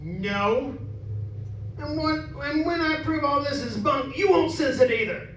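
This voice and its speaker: A man playing a gruff, stubborn middle-aged father who scoffs at superstition, in old radio horror style. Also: extremely high pitch, animated, unhurried, a bit bright, exaggerated voice. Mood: scornful. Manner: gruff, dismissive, bossy. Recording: roomy distant recording, faint noise.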